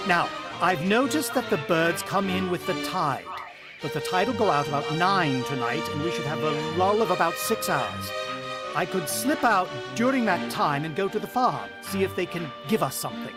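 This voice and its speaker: A man's voice: high-pitched, expressive, moderate pace. Guy acting shaken farmhand husband in old radio play, swoopy dramatic delivery, music under him. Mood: firm, reassuring. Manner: dramatic, suspenseful first-person storytelling.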